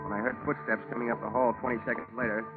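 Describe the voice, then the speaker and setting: Through a tinny vintage radio broadcast with music behind, an actor plays an American male private investigator. He is cool-headed and wry, narrating his case in a hard-boiled mid-pitched voice. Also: little pitch movement, very fast, lightly acted. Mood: wry, measured. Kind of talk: 1950s radio detective drama acting.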